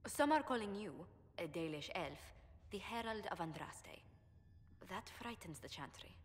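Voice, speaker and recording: A woman's voice: mid-range voice, animated, measured pace, in a large hall, slight background noise, natural voice. An actress playing a courteous, polished female ambassador in a fantasy video game, formal and precise in speech. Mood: formal, concerned. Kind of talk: video game dramatic dialogue.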